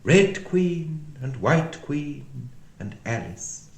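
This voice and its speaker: A man's voice: on the high side, lively intonation, very slow, vocal fry, voice pushed up, exaggerated voice. A man voicing an imperious, patronising queen from a children's storybook. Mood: bossy, soothing. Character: bossy, brisk storybook chess queen, a grown woman. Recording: echoey room.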